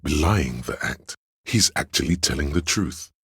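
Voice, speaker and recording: Game role: scheming courtly adviser, extremely deep husky bass, grandiloquent and obsequious, close dry sound. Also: male voice, lively intonation, unhurried, fairly bright tone, dry recording, heavily acted. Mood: suspicious, advising. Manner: theatrical, grandiloquent, addressing a liege. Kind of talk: scripted game voice lines, archaic courtly speech.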